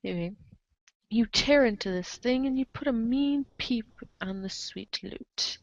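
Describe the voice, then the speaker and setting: A woman's voice: on the high side, a little slow, dry recording. Playful woman narrator, dull close recording. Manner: playful, theatrical, breaks into asides and chuckles. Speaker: narrator of a comic read-along show. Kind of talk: animated dramatic reading with casual asides.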